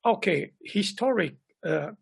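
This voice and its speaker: A man from Mauritius, a poet talking at length on a video call, listing words slowly and with emphasis. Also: sits high, expressive, creaky, breathy edge. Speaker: poet guest. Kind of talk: reflective conversation.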